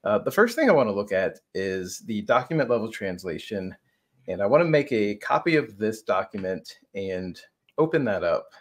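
A man's voice: lowish voice, sing-song, normal speed. A man in his thirties explaining a product on a video call as a conversational co-presenter. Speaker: software demo co-presenter.

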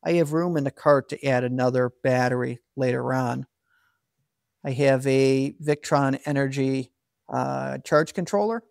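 A man's voice: medium pitch, gravelly, darkish tone, no room sound, clean studio sound. A man in his forties hosting a solar equipment channel, explaining his setup in a plainspoken, practical way from an indoor garage workshop. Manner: plainspoken, practical, with frequent fillers and restarts. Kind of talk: casual explainer, talking to camera.

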